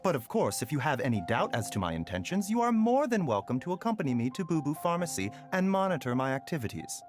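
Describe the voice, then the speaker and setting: A man playing a courteous, enigmatic young doctor in a video game, measured, formal and persuasive. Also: a little high, big pitch swings, faint music behind. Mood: polite, assured.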